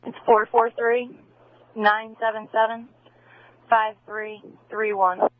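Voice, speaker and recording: Tinny high-voiced woman dispatching over police radio. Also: little pitch movement, slow pace, no room sound. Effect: two-way police radio. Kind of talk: terse radio dispatch, reading codes and numbers.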